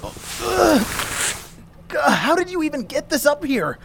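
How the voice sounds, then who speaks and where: An actress playing a flustered, long-suffering boarding-house helper in an audio drama, hesitant, awkward and grumbling. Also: female voice, high-pitched, sing-song, takes it slowly, creaky, a little hiss or hum, full character voice. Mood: exasperated. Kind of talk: naturalistic audio drama acting.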